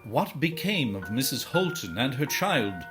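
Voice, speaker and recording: A man playing a theatrical storyteller, delivering dramatic scripted narration of a dark tale in a musical. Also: mid-range voice, very animated, measured pace, over music. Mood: ominous, knowing.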